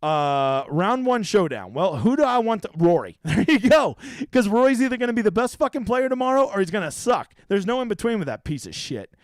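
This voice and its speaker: A man's voice: high voice, big pitch swings, brisk, no echo. A man in his thirties hosting a livestream, chatting casually with viewers in a profane, brash, rambling way. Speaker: livestream host. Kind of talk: casual livestream chat answering viewer questions.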